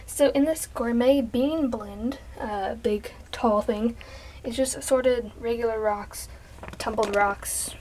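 Soft-voiced congested young woman. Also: fairly high pitch, slow pace, slight background noise, rough recording. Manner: chatty, rambling, soft, drops into whispers. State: congested, sniffing from allergies. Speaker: vlogger. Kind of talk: casual show-and-tell vlog. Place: bedroom. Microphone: handheld camera.

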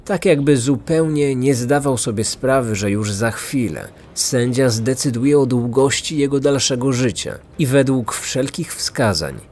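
A man's voice: medium pitch, unhurried, few pauses, a little mellow, slight background noise. A man reading a scripted, dramatic true-crime narration as a podcast narrator. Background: music.

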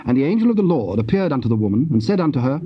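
Guy reading bible narration, solemn n measured, mid voice, dull old tape sound. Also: medium pitch, very animated, slightly rough. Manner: measured, formal. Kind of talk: solemn scripted scripture narration. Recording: muffled old cassette, faint hiss.

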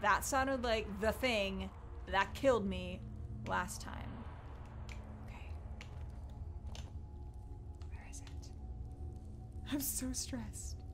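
A young woman playing a video game with a headset, jittery and excitable, swinging from loud yelps to hushed muttering. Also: mid-range voice, drawn-out words, pauses often. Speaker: gamer. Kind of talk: let's play commentary. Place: small room indoors. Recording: game music loud behind voice. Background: game music.

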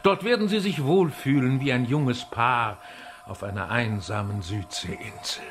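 An actor playing a megalomaniac mad scientist villain in a radio play, with theatrical, mock-courteous, drawn-out delivery. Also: male voice, mid-range voice, close to an everyday voice. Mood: gloating, mocking.

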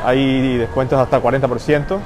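A man's voice: mid-range voice, fairly even intonation, fairly quick. A man hosting a live walking tour through a busy shopping mall, greeting viewers by name as he goes. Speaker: livestream host. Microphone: handheld phone camera. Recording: phone live stream with loud mall noise. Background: crowd and mall ambience.

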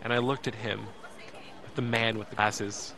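An actor playing an anxious, emotional young man who narrates his ordeal in an audio drama, intense and halting. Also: lowish voice, flat delivery, pushed and bright, some chatter behind. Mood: resigned, tense. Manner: intense, halting, repeats words.

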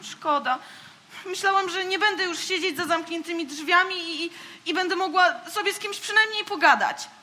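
A woman's voice: very high-pitched, moderate pace, pushed and bright. A woman playing a small, naive, friendly and easily hurt alien girl in a children's radio play, with a childlike, plaintive delivery. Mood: pleading, wistful.

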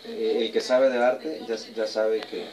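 A man's voice: fairly low pitch, fairly even intonation, measured pace, darkish tone. A man in his forties from El Salvador, answering interview questions casually in a modest, hesitant, reflective way. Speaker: guest, romantic music singer and painter. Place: small indoor room. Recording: echoey room, distant mic, people audible behind.

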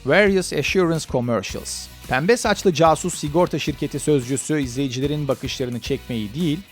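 A man's voice: on the high side, moderate pace, faint music behind. A man reading scripted narration as the narrator of a countdown video.

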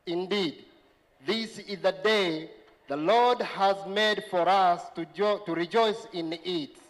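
A man's voice: high-pitched, slow pace. A young man from Zanzibar with a Tanzanian accent, reading a formal prepared speech as representative of a graduating class. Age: young adult. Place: large event tent. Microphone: handheld microphone. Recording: reverberant PA sound in large tent.

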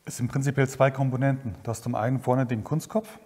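A man's voice: medium pitch, steady tone, quick pace, darkish tone, big-room sound. A man working as a sound engineer, explaining recording technology in a methodical way.

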